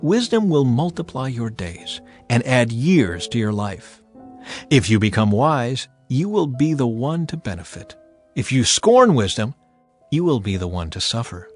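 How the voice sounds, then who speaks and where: A man narrating dramatized scripture in a solemn, deliberate storytelling manner. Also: medium pitch, big pitch swings. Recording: music behind the voice. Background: music.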